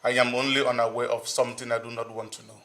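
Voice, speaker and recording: A man's voice: mid-range voice, level tone, fast talker, a bit bright, natural voice. A man playing a commanding man. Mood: threatening. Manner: commanding, threatening. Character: forceful man making threats. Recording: faint music behind.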